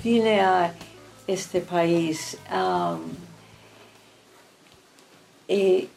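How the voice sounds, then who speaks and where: An older woman from Turkey, whose first language is French, telling her life story in an interview, halting often and restarting her words. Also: fairly low pitch, fairly even intonation, vocal fry, darkish tone, soft background music. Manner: halting, many restarts and fillers.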